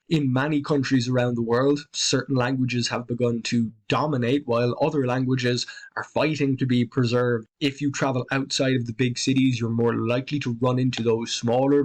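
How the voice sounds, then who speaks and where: A man with an Irish accent calmly explaining the night sky in a conversational, educational voice-over. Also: medium pitch. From Ireland. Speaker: astronomy presenter.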